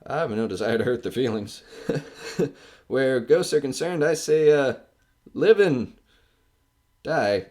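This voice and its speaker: A man's voice: mid-range voice, animated, slow pace, pauses often, darkish tone. A man acting in an audio horror drama as a skeptical, wisecracking radio man narrating a ghost hunt in a casual, hesitant way. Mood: wry, amused. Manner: casual, flippant, hesitant filler-strewn delivery. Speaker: host of a ghost hunt recording.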